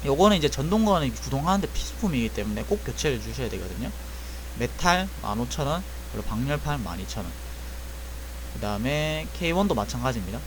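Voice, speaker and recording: A man's voice: mid-range voice, big pitch swings, fast, noisy background. A young man casually explaining a repair job, thinking aloud and correcting himself as he goes. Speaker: airsoft gun technician. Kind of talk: casual spoken tutorial.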